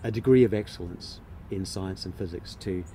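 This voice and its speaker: A man giving measured interview answers as a filmmaker, with deliberate, rather formal phrasing. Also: deep voice, warm tone, a little hiss or hum.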